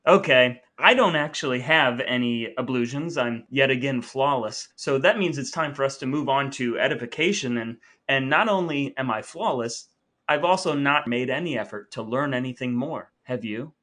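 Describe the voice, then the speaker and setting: Bright-voiced man hosting. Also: mid-range voice, moderate pace. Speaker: podcast host. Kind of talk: casual comic banter, partly dramatic reading of texts.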